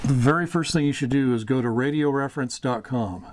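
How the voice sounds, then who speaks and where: Guy with a medium pitched voice doing a casual hobby how-to, kinda halting, explains stuff step by step. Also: a little mellow, a little hiss or hum. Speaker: radio hobbyist host giving beginner advice. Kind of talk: informal instructional talk.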